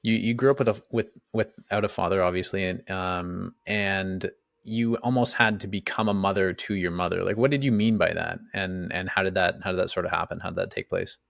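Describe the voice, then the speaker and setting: A man's voice: low voice, fairly even intonation, a little fast, gravelly, fairly bright tone, dry recording, clean studio sound. A man hosting a podcast interview, asking his questions hesitantly with restarts. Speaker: podcast host. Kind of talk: conversational interview.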